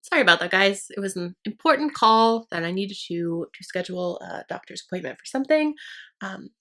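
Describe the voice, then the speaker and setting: A woman's voice: medium pitch, lively intonation, fairly quick, pushed and bright. A young woman vlogger chatting casually while doing her makeup at home, rambling and self-deprecating as she gets over a sinus infection. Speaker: beauty vlogger. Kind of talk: casual get-ready-with-me chat. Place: downstairs at home by her vanity.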